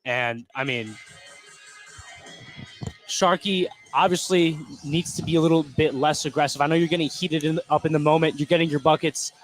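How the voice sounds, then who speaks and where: A man's voice: a little high, normal speed, soft background music. A man giving casual, conversational color commentary on a live basketball game, reacting informally to plays.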